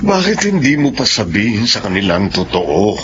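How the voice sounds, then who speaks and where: An actor playing a calm adult confidant, gently reasoning with a friend in a radio drama. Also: male voice, fairly low pitch, moderate pace, muffled recording, over loud music. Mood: concerned.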